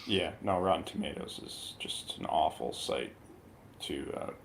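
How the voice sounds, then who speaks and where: A man's voice: low-pitched, a little slow, gravelly, a bit bright, slight background noise. A man chatting casually as a panelist in a movie review discussion.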